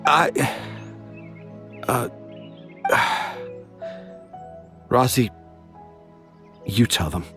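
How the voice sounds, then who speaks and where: An actor playing a troubled, dramatic middle-aged father and storyteller in an anime-style game, halting and emotional. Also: mid-range voice, expressive, gravelly, sharp tone, exaggerated voice. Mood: resigned. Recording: music behind the voice.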